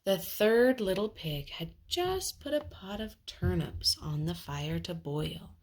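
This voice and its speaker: A woman reads a children's story aloud as storyteller. Her delivery is expressive and dramatic, with very lively pitch, a slow pace and a slightly husky, breathy tone.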